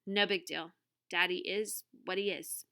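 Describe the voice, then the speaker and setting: A woman voicing a gutsy, stubborn young girl, blunt and excitable, anxious but loyal. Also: on the low side, measured pace, creaky, clean tone, full character voice. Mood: uneasy.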